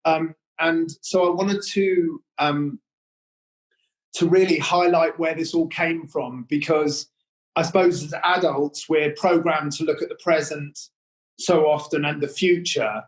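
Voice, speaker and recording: A man's voice: on the high side, steady tone, a little slow, poor audio. A man hosting an interview, rambling with many fillers and restarts. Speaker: interview host. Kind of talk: hesitant conversational interview.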